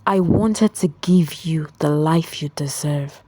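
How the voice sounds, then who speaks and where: A woman storyteller voicing a gentle, humble young husband who speaks quietly in a folktale. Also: deep voice, takes it slowly, slightly rough, no room sound, voice pushed down, exaggerated voice. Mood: tender. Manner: quiet, soft-spoken.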